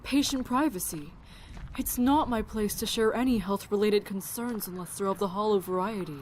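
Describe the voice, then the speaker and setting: A woman's voice: mid-range voice, moderate pace, darkish tone, a little hiss or hum, lightly acted. An actress playing a perceptive woman doctor in a zombie apocalypse audio drama, chatty and matter-of-fact. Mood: frank, understanding.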